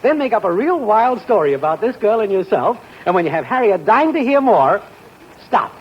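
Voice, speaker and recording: An actor playing a wisecracking, scheming neighbor in a 1940s radio sitcom, with dry and sly jokes. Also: male voice, sits high, sing-song, moderate pace, slightly rough, heavily acted. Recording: dull, faintly hissy old radio broadcast.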